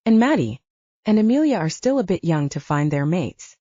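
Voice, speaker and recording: A calm, cautious father played by a woman narrator reasons agreeably in an audiobook story. The delivery is measured yet varied in pitch, with a relaxed timbre. The recording is dry and slightly muffled. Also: no room sound. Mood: reasoning, hesitant.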